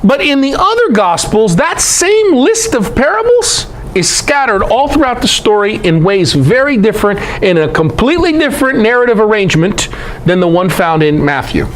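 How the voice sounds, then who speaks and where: A man's voice: high voice, big pitch swings, moderate pace, a little hiss or hum, rough recording. A man giving a conversational Bible lecture to a group, emphatic, posing rhetorical questions.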